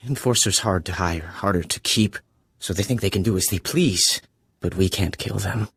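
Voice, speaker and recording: An actor voicing a small, fawning, fretful alien administrator in a video game, raspy and eager, in clipped broken grammar. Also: male voice, low-pitched, normal speed, no room sound, full character voice. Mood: fawning, aggrieved. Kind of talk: video game character dialogue.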